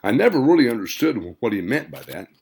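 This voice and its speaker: A man voicing a grave man in an audiobook, halting and emphatic as he gives warning. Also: medium pitch, sing-song, vocal fry, darkish tone, husky, heavily acted. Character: grave man recalling his grandfather's warning. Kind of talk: audiobook character dialogue.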